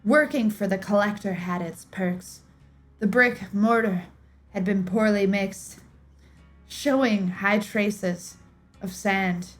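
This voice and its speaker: A woman delivers a dramatic story reading in a theatrical, measured way. Her voice sits at an ordinary female pitch, and music plays behind her. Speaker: streamer. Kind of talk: dramatic reading of game lore.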